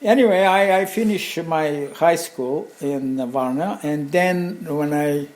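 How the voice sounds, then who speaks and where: An older man with a Bulgarian accent speaks in a mellow, relaxed voice that sits a little high. He tells anecdotes casually, stopping and restarting, wandering off and picking up again with animation. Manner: halting, rambling, animated anecdotes with chuckles. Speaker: interview guest, craftsman and designer. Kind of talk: casual conversational storytelling.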